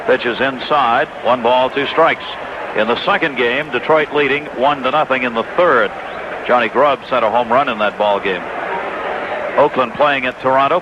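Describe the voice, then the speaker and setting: An American man calling live baseball play-by-play on the radio, filling in scores from other games between pitches. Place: stadium broadcast booth. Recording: dull, noisy 1980s AM radio broadcast. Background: loud ballpark ambience and noise.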